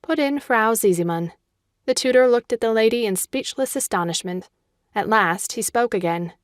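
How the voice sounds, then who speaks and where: A woman narrating a classic children's audiobook in a measured, scripted storytelling manner. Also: fairly high pitch, expressive, dry recording, studio quality.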